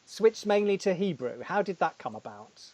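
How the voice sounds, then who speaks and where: A man's voice: sits high, sing-song, normal speed, darkish tone, no room sound. A man hosting an interview, asking long, articulate, winding questions. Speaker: podcast host. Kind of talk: interviewing a guest.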